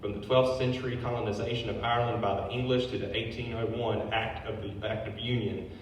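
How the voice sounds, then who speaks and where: A man's voice: medium pitch, level tone, a little fast. Man lecturing from afar in an echoey hall. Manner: informal asides between read passages. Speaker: brewery owner giving a history talk. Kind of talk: public lecture reading from notes. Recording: very echoey hall, distant mic, faint noise.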